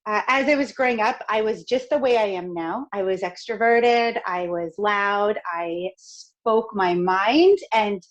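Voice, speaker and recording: A middle-aged woman from Canada with a raspy, crackly voice, talking in an outgoing, expressive way as a podcast guest. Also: medium pitch, measured pace, hardly any pauses, lo-fi sound. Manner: outgoing, expressive, laughs often. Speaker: podcast guest, speaker and author. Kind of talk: upbeat conversational interview.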